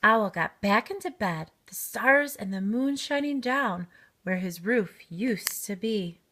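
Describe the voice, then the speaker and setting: A woman reading a children's storybook aloud in expressive narration. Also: medium pitch, takes it slowly. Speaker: storybook reader.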